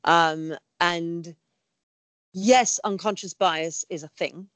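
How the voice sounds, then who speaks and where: A woman in her forties with a Canadian accent softened by years in England, speaking as an interview guest, talkative and animated, with many fillers and repeated words. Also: on the low side, slow pace, clean tone, no echo. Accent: Canadian with an English tinge. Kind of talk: conversational interview.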